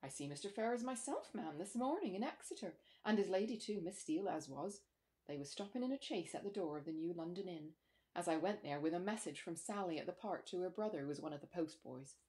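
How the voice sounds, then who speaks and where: A woman's voice: fairly low pitch, animated, fast, darkish tone, natural voice. A talkative male servant from the countryside, acted out by a woman in a storybook. He is respectful and rambles on in homely, ungrammatical country speech. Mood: earnest, deferential. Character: deferential, talkative rustic manservant, male. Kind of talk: storybook character dialogue.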